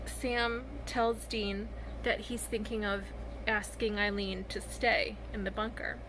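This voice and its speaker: A woman talking casually in a reaction vlog, with a halting, expressive fangirl delivery and dramatic pauses. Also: mid-range voice, background noise. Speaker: vlogger sharing reactions to fan fiction.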